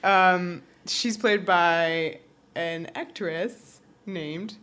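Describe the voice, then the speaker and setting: A woman's voice: fairly low pitch, extremely slow, fairly bright tone, clean tone. A woman co-hosting a podcast, recapping a TV episode in casual, jokey chat. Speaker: podcast co-host.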